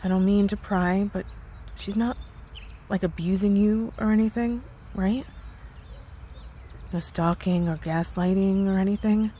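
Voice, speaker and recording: A woman's voice: medium pitch, steady tone, measured pace, pauses often, warm tone, a little hiss or hum, close to an everyday voice. A woman playing a concerned coworker in an ASMR roleplay, speaking earnestly, hesitantly and with care. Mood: earnest, worried. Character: worried, caring married female coworker, hesitant and earnest. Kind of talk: ASMR roleplay audio drama.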